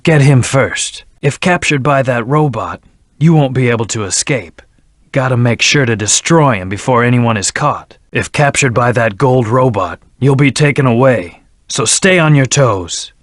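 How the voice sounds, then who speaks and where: A man's voice: medium pitch, animated, moderate pace, no echo, studio quality, close to an everyday voice. A man voicing a brooding, stern hedgehog antihero in a video game, speaking in a terse, serious, controlled way. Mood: stern, focused. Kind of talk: video game voice acting, scripted hints and battle lines.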